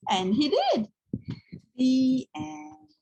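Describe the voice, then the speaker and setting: A woman reads a children's picture book aloud. Her voice is husky and swings widely in pitch, and she takes an unhurried pace. She is expressive, speaking as if to young listeners. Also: dull audio. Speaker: read-aloud storyteller.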